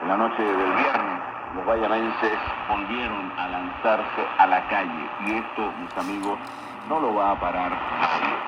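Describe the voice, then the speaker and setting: A man's voice: lowish voice, steady tone, normal speed. A man delivering emphatic political news commentary on a radio broadcast. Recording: shortwave broadcast buried in loud static.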